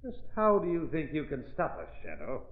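A man's voice: on the high side, wildly swooping pitch, fairly quick. A man playing a ruthless, sadistic gang boss, menacing and sneering, in an old-time radio drama. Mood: mocking. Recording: tinny, hissy old radio recording, roomy.

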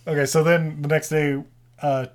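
A man's voice: on the high side, little pitch movement, normal speed. A man in his thirties casually recapping a movie with friends, laughing often and rambling. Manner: laughing, rambling recap with silly quoted voices. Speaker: podcast host recapping a movie. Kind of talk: casual chat.

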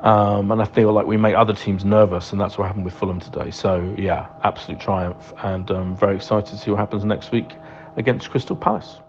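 A man giving a casual fan's match reaction in hesitant, pause-filled phrases, on a dull lo-fi recording. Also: fairly low pitch, fairly even intonation. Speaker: fan contributor. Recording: dull lo-fi audio, clear humming noise.

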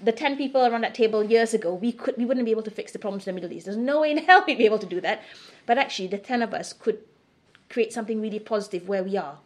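A woman's voice: mid-range voice, racing. A middle-aged woman from Singapore answering interview questions in a candid, emphatic, conversational way. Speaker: interview guest.

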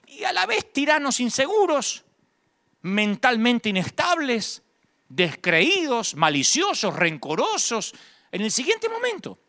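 A male preacher delivers a conversational sermon in a high-pitched, forceful voice. His intonation swings widely, and he leans on key phrases, repeating them for rhetorical emphasis.

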